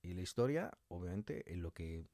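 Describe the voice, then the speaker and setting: A man speaking as a guest in a casual interview, a writer and director explaining his craft in colloquial, animated talk. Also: low-pitched, normal speed, no echo, studio quality.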